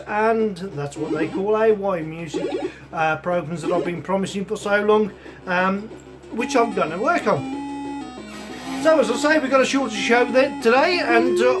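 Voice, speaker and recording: Male hobby host, croaky and high-pitched, loose rambling delivery, chiptune music under the talk. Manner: chatty, meandering, many ums and asides. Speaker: host of a retro computer show. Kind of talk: casual rambling review chat. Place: home hobby room with computers and a soldering bench. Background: chiptune music playing from a computer.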